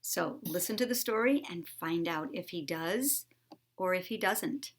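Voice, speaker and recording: A woman narrating a children's storybook like a teacher, with deliberate, expressive phrasing. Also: on the low side. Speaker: teacher reading aloud to children. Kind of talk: storybook read-aloud narration.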